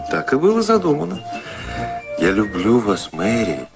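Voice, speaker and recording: An actor playing a suave, self-assured rake who teases and woos in a radio drama. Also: male voice, medium pitch, wildly swooping pitch, normal speed, gravelly, over music. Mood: playful, then ardent. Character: teasing, self-assured charming rake wooing a woman.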